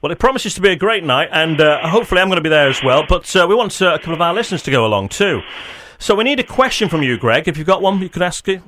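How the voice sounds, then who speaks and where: Male radio interviewer, English, highish pitch, quick and informal, upbeat local-station chat. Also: animated, a bit bright, no echo. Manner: chatty, informal.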